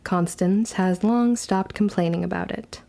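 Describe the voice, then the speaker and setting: Formal young witch, mellow voice. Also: mid-range voice, vocal fry. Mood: wry reminiscence. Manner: formal, measured, old-fashioned diction. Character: young orphan witch, formal and wry, recalling her past. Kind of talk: period audio drama narration.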